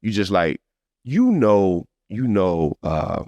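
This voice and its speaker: A man with a very low voice hosts a casual podcast conversation. He speaks earnestly and emphatically in slangy phrases, often circling back to repeat himself. The sound is dry, with very little room on it. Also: big pitch swings, slow pace, warm tone, dry recording, lo-fi sound. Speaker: podcast host.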